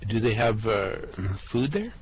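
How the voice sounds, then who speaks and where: A man's voice: lowish voice, very animated, a little slow, breathy edge, full character voice. A man voicing a laid-back, slightly dim male space adventurer who drawls in a slack, easygoing way, in an amateur radio play reading.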